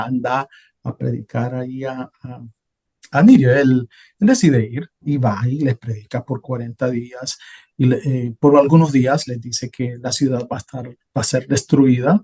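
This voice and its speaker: Honduran male co-host, husky voice, relaxed, loose conversational delivery. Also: medium pitch. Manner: relaxed, thinking aloud with many hesitations. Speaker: podcast co-host. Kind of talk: casual conversational talk about Bible passages.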